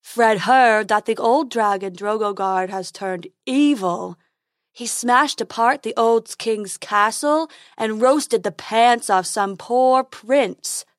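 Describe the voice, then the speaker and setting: A silly storybook unicorn, voiced by a woman, male, stubborn and simple. He talks in a slow, dopey, gossipy way, close to the mic with little room sound. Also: fairly high pitch, no room sound. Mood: alarmed gossip. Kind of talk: storybook character voice.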